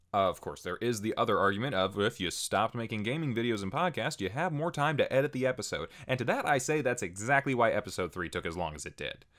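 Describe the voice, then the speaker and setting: A man with a somewhat low voice speaks as the host of an online channel. His pitch moves around a lot, giving the delivery an animated swing. He addresses viewers in an informal, prepared update style, dropping in wry asides. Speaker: channel host and video creator. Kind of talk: pre-recorded channel update, casual scripted address to viewers.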